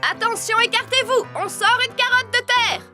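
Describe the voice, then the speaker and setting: A woman's voice: very high voice, steady tone, normal speed. A woman voicing a busy female elf farm worker in a children's cartoon, with music behind. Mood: busy, friendly. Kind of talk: animated children's cartoon dub acting.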